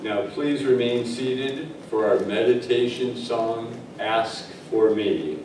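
A man leading a church service, making calm and formal announcements. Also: medium pitch, takes it slowly, background noise. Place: church sanctuary. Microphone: distant room mic. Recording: echoey sanctuary from far off.

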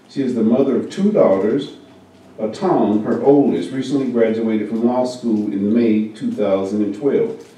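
A man reading a first-person story aloud at a church service, in a measured, unhurried way. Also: mid-range voice, dark tone. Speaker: service reader. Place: church meeting room. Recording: very echoey hall, mic far off.

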